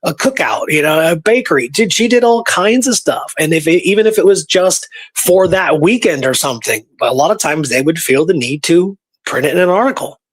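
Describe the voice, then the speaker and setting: Higher-voiced man in casual conversation. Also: expressive, fairly quick, hardly any pauses, breathy edge. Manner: informal, colloquial, uses "man" and "dude". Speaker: guest history researcher.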